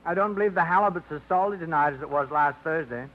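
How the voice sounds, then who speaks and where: A man's voice: a little high, fast, a little hiss or hum, lightly acted. An actor playing a fussy, set-in-his-ways middle-aged bachelor who rambles with old-fashioned phrases, in a 1950s radio drama. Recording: muffled old radio broadcast.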